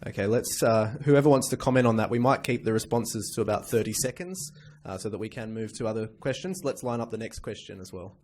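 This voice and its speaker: Man, lowish pitch, forum moderator, halting procedural delivery, event microphone. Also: animated, darkish tone, slight background noise. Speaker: panel moderator. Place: public meeting room.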